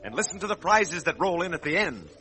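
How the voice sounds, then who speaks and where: A man's voice: high-pitched, big pitch swings, fast, bright, forceful tone. A man announcing and reading sponsor copy for children in 1940s radio style. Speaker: announcer and pitchman.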